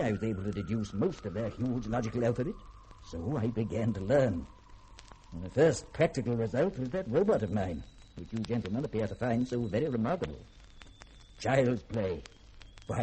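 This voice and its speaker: An actor playing a proud, learned middle-aged scientist, lecturing precisely and grandly in a 1950s radio drama. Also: male voice, mid-range voice, mellow, natural voice, low-quality recording. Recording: old radio broadcast, faint hiss.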